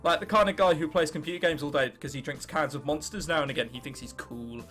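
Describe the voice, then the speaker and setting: A man's voice: fairly high pitch, fast talker, a bit bright, soft background music. A 19-year-old man with an English accent doing casual, sarcastic game commentary with mock bravado, sometimes reading on-screen text aloud. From England. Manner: sarcastic, self-mocking bravado, casual swearing. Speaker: gaming video host.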